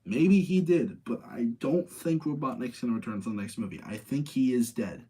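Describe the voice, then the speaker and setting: A man with a mellow, dark-toned voice of average pitch hosts a casual stream. He sounds tired, as if up late. He rambles through his opinions, often repeating himself while checking in with his audience. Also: moderate pace, slightly rough. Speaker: streamer hosting a movie rating session.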